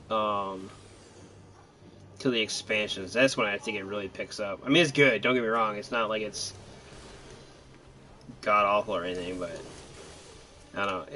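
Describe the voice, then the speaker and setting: A man with a fairly low voice talks casually over his gameplay. He rambles and hesitates in an easygoing manner, feeling his way through each thought. The home recording has some noise, with game ambience underneath. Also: sharp tone. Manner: rambling, hesitant, laid-back. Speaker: gaming commentator. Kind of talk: casual let's-play commentary.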